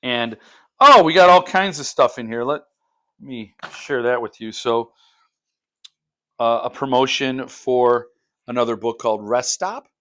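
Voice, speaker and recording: A man's voice: mid-range voice, unhurried, pauses often, sharp tone. A man talking casually and unscripted as a book vlogger, thinking aloud in broken, conversational phrases. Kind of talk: casual unscripted talk to viewers.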